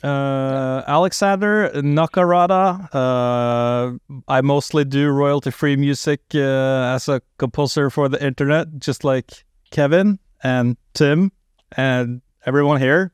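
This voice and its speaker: A man for whom English is a second language chats as a podcast guest, pausing and restarting often. His voice is smooth and clear at a middle pitch, recorded close and dry. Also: steady tone, no echo. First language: not English. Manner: halting, with many fillers and restarts. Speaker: composer guest. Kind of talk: casual podcast chat.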